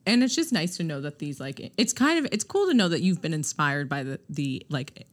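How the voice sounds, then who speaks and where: A woman's voice: sits low, big pitch swings, a little fast. A woman co-hosting a casual interview podcast, readily agreeing with the guest and asking curious follow-up questions. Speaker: podcast co-host.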